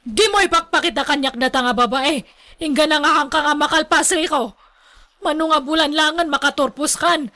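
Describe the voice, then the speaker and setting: A woman's voice: high-pitched, normal speed, pushed and bright. A woman with a Filipino accent playing a shocked, scolding mother in a radio drama, speaking indignantly. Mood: shocked, angry. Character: shocked, scolding mother of a grown student son.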